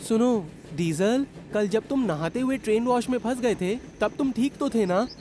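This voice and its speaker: An actor voicing a kind, conscience-stricken young male train, gentle and polite, in a children's cartoon dub. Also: medium pitch, lively intonation, moderate pace, slight background noise, lightly acted. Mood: guilty, hesitant.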